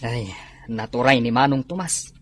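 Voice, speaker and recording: Man acting mid-pitched resigned rural father. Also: normal speed, lightly acted. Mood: resigned, disapproving. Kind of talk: Ilocano comedy-drama voice acting.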